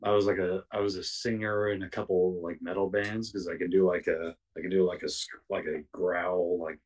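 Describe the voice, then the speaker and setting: Raspy-voiced man, rambling and low. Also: flat delivery, normal speed, recorded from a distance. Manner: rambling, many fillers and restarts. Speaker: podcast guest. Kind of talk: casual chat.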